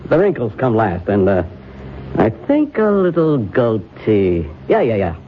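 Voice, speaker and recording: Musing, unhurried, animated make-up expert. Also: male voice, fairly low pitch. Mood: musing, pleased. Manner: musing, directive, pleased with himself. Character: brisk, self-satisfied male disguise and make-up expert. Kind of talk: old-time radio drama acting. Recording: dull, noisy old radio recording.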